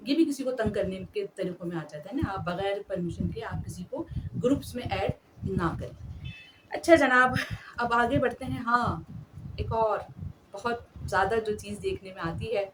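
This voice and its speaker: A woman's voice: medium pitch, normal speed. A woman hosting an etiquette talk, speaking earnestly and politely to her listeners. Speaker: host. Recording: distant mic with clear background noise.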